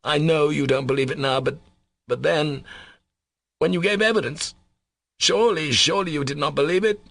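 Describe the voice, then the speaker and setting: A man's voice: on the high side, normal speed, vocal fry, a bit bright, no echo, pitched above the performer's own voice. A man voicing a desperate young man in an audiobook, urgent and pleading in a raised voice. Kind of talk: audiobook character voice.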